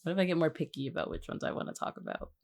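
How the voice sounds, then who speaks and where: A woman's voice: deep voice, very animated, rapid-fire, creaky, darkish tone. A woman chatting casually as a podcast co-host, teasing and often repeating her phrases for emphasis.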